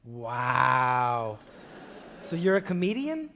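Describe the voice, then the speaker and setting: An actor playing a chatty, pushy, overfamiliar driver in a comic radio play on stage. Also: male voice, medium pitch, huge pitch swings, extremely slow, vocal fry, pushed and bright, full character voice. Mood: chatty, nosy. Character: chatty, oblivious accident driver, eager with joke advice. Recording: echoey theatre hall, noisy live stage.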